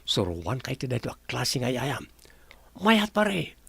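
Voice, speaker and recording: An actor with a Filipino accent playing a carefree young boyfriend hooked on gambling in a radio drama. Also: male voice, mid-range voice, big pitch swings, slow pace, creaky, a bit bright, slightly rough, dry recording, full character voice. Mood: breezy, sly.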